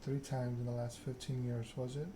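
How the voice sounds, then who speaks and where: A man voicing a proud, scheming vampire in a measured, self-important monologue, read aloud in an ASMR style from a game. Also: mid-range voice, flat delivery, noisy background, lightly acted. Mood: smooth, persuasive. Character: proud, scheming vampire aristocrat telling their story.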